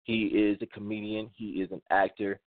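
A man hosts an online radio show, eager and affirming as he welcomes a guest. His voice sits somewhat low. The sound is dry and thin, like a phone line. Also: flat delivery, normal speed, no room sound. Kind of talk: interview hosting.

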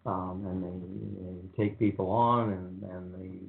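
An older man hosting a radio show, talking in a relaxed, rambling monotone with many pauses and ums. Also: deep voice, gravelly. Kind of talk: casual radio talk. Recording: phone-like narrow sound.

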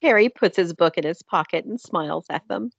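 A woman's voice: fairly low pitch, a little fast, gravelly, slightly rough, lo-fi sound. A woman narrating a scripted fantasy drama.

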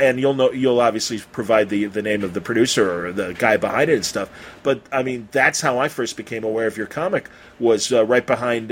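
A man hosting a casual podcast interview, talking in an effusive, rambling, halting way with some mild swearing. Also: mid-range voice, breathy edge. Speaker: podcast host interviewing a guest.

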